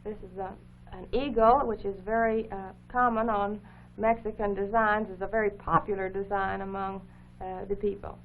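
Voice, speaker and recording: A narrow, phone-like 1950s recording with a faint hum carries a woman's voice with little rise or fall. She explains what is being shown in a careful, formal way, pausing as she finds her words. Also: mid-range voice, level tone. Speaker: co-host of an educational program. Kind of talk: unscripted explanatory commentary over film footage.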